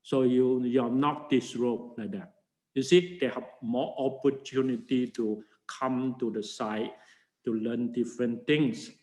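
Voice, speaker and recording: Older monk, slow and halting. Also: male voice, medium pitch, fairly even intonation, darkish tone, echoey room, poor audio. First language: Chinese. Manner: halting non-native English with many fillers and restarts. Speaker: Buddhist monk teaching a class. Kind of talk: informal dharma class talk. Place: classroom.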